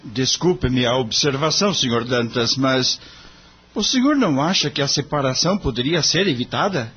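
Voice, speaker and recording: A man's voice: medium pitch, lively intonation, normal speed, fairly bright tone, slightly rough, highs cut off. A man playing an earnest, courteous young lawyer in an audio drama, polite, formal and deferential. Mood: courteous, concerned. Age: young adult.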